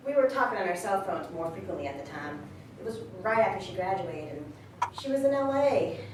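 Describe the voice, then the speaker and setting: A woman playing a candid, warm-hearted friend in a casual monologue at a staged reading. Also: fairly high pitch, natural voice. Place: live staged reading in a large room. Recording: very echoey hall, distant mic, faint noise.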